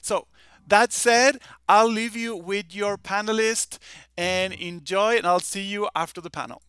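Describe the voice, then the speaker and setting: High, bright-voiced male summit host. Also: fairly even intonation, measured pace, clear, resonant tone, no room sound.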